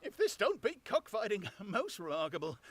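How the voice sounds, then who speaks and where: A man voicing an excitable rustic tramp who yelps his lines high and loud. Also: normal speed, no echo, heavily acted. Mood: astonished. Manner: high squawking yelps, exclamatory. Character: excitable rustic male tramp, gruff and bewildered.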